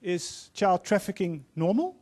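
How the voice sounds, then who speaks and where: A man in his fifties with a Dutch accent, giving an earnest, measured opening speech as panel moderator in a large auditorium, holding a handheld mic. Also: high-pitched, big pitch swings, a little mellow, breathy edge. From Netherlands. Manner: earnest, measured, quoting speakers.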